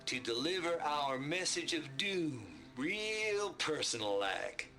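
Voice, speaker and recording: Irreverent Southern US techie, high voice, slow teasing drawl, boisterous, folksy, video game character. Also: male voice, animated, bright, forceful tone, soft background music, full character voice. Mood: playful. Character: boisterous, folksy, irreverent male prankster programmer. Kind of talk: video game cutscene acting.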